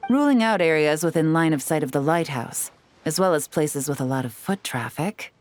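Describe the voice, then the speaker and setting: A woman voicing a cool, confident young female spy in a video game cutscene, calm and poised with a quietly teasing edge. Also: low voice, moderate pace. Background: faint game music.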